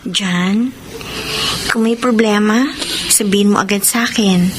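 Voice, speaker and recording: An actress playing a loving young girlfriend who feels things deeply, in a Filipino radio drama. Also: measured pace, noisy background, lightly acted. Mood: concerned. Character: loving young girlfriend, hurt and betrayed, recounting her heartbreak. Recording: radio drama with music underneath.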